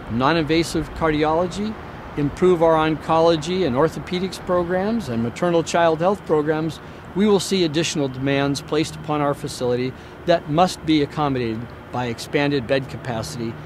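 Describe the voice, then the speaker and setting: A man giving a prepared hospital newsreel update in a formal, measured, deliberate way, like an executive addressing the community. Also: on the high side. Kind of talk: prepared newsreel presentation to camera. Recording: clear outdoor traffic and ambient noise.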